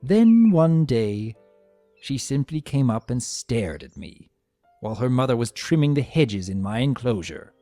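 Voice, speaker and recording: A man voicing a vain, spiteful, sarcastic trickster creature trapped as a statue, telling its story with theatrical, sneering, drawn-out emphasis. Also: mid-range voice, big pitch swings, dark tone, no room sound. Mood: smug, disdainful. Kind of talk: dramatic first-person story reading. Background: music.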